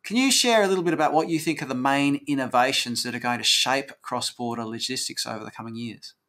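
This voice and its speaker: Guy in his 40s interviewing someone on a video call, mid-pitched voice, chatty. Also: quick pace, pushed and bright. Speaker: podcast host, interviewer. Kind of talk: conversational interviewing. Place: indoor office, video call.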